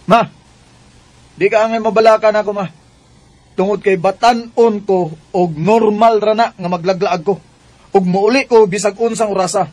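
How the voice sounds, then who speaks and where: Rebellious young adult son, Cebuano Bisaya radio drama. High, slightly rough voice, hot-headed delivery. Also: male voice, a little mellow.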